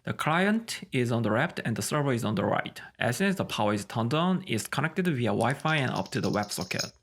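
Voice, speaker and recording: A man with a medium-pitched voice delivers a technical tutorial. He works through his scripted explanation in a methodical, step-by-step way, as a presenter guiding learners. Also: very animated, brisk, fairly bright tone.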